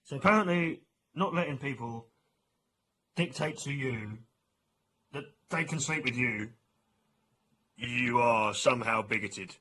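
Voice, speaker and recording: A man's voice: medium pitch, measured pace, long pauses, pushed and bright, slight background noise, poor audio. A British man giving a mocking opinion rant as an online commentator, with a sarcastic, exasperated, informal manner.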